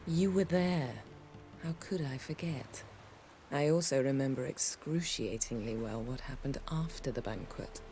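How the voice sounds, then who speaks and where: An actress playing a haughty, sharp-witted sorceress in a video game, speaking with an English accent in a poised, sardonic, precise way. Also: female voice, a bass voice, a little slow, over music. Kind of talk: video game cutscene acting.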